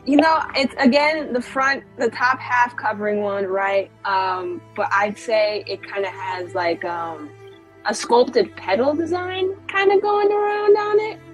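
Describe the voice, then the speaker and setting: A woman's voice: a little high, measured pace, soft background music, poor audio. A woman playing a tabletop roleplaying game, chatty and descriptive, with lots of fillers. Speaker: player. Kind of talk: casual tabletop roleplay chat.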